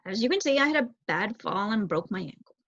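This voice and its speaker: Lady doing a kindly tired old man mouse from a storybook, measured, husky, muffled. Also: mid-range voice, very animated, a bit bright. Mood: weary. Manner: measured, cordial, reassuring. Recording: roomy echo, dull muffled sound.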